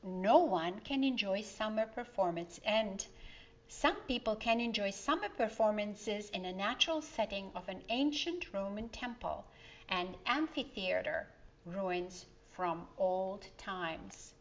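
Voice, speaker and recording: A woman reads a children's story aloud at a slow pace. Her voice sits at a medium pitch, and she tells the tale expressively, pausing for effect as she addresses young listeners. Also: expressive, lo-fi sound.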